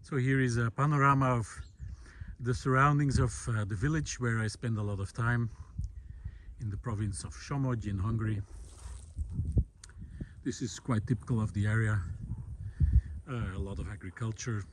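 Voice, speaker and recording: Thoughtful, halting man with outdoor background noise. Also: mid-range voice, steady tone. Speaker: host of a walking video series. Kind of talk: reflective monologue while walking. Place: outdoors on a country road in hilly farmland. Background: outdoor ambient noise.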